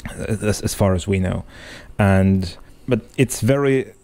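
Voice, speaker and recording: A man with a mellow, somewhat low voice who speaks English as a second language. He chats on a podcast in a thoughtful, halting way and often restarts his sentences. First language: not English. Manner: thoughtful, hesitant, many restarts. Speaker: podcast co-host. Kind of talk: casual analytic chat.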